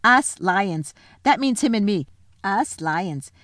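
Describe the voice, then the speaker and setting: A woman voicing an excitable, proud male lion who boasts eagerly over and over. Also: medium pitch, slow, no echo. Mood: thrilled.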